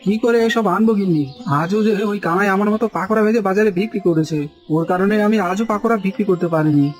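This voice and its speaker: A man's voice: high voice, quick pace, lightly acted. A man voicing an earnest, hard-working village snack seller in a cartoon, with emphatic, exclaiming delivery. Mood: complaining. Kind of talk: dramatized cartoon dialogue. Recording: music bed behind the voice.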